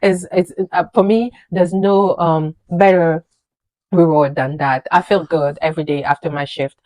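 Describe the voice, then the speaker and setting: Woman, 30s, first language French. Low-ish voice, animated, emphatic storyteller. Dry and close. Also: normal speed, no echo. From French-speaking country, immigrated to the USA. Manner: animated, emphatic, with frequent fillers and repeated words. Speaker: registered nurse, vlogger. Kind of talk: casual personal storytelling to camera.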